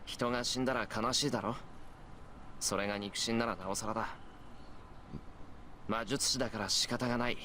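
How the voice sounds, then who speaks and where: A man's voice: medium pitch, steady tone, rapid-fire, pauses often, sharp tone, a little hiss or hum, natural voice. A man voicing an earnest, stubborn teenage boy mage in a Japanese visual novel, speaking bluntly. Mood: firm, earnest. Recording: game music behind the voice. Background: game soundtrack.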